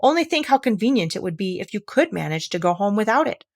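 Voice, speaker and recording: A woman's voice: mid-range voice, very animated, fast, no echo, clean studio sound. Lady doing a little storybook gnat, offhand and careless, bit melancholy. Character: careless, melancholy talking gnat, fond of bad puns. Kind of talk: children's storybook character reading.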